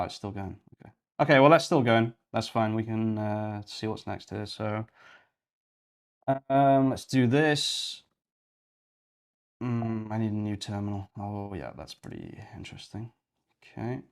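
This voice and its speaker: A young man from Yorkshire, chatting casually and thinking aloud while hosting a coding livestream. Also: fairly low pitch. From Yorkshire, England. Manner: rambling, thinking aloud while clicking around.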